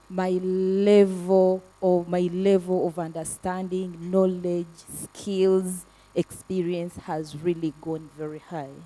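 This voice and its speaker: A woman advocate giving earnest testimony about a program, speaking haltingly with fillers and restarts. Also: on the low side, dark tone, recorded from a distance, rough recording. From Africa. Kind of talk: panel testimony.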